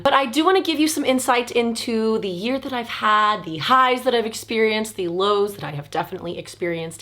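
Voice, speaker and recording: A woman's voice: on the high side, fairly bright tone. An American woman aged thirty chatting casually and confidingly to her viewers as a vlog host, with self-deprecating asides. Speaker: video channel host. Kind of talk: casual personal vlog chat to camera. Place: bedroom at home, sitting on the floor.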